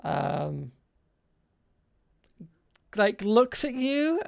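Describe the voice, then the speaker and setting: A man narrating an improvised tabletop game as game master, halting and pausing between short phrases. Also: high voice, very animated, gravelly.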